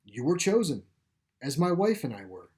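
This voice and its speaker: A man reading a story plays a calm, gentle caretaker of an animal sanctuary, explaining things with assurance. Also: fairly high pitch, moderate pace. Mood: gently persuasive. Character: calm, reassuring pipe-smoking male caretaker of animal sanctuary. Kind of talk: storybook character dialogue.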